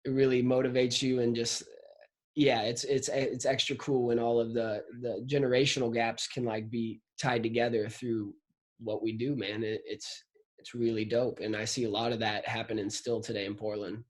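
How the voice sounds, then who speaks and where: A man's voice: medium pitch, little pitch movement, normal speed. A man in his late twenties hosting a casual chat, talking in loose slang peppered with "man" and "like". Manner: slangy, says "man" and "like" constantly. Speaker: host of a drummers' chat show.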